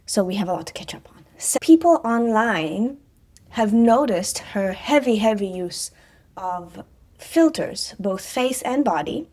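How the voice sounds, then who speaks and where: A woman's voice: mid-range voice, animated, measured pace, darkish tone. A woman, chatting casually on her vlog with sarcastic asides and frequent fillers. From Israel. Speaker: vlogger, personal trainer.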